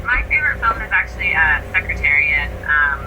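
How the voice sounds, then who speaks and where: This is a woman guest in a casual interview, an actor talking with eager enthusiasm. She sounds some distance from the microphone, and a clear layer of noise runs behind her. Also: low-pitched, big pitch swings, fairly quick.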